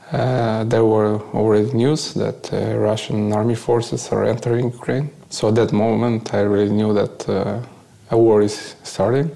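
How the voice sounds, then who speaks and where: A man with a Bulgarian accent tells his own story in an interview. His voice is low, dark and creaky, and he searches for words as he goes. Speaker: interview guest, volunteer. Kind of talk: conversational first-person account.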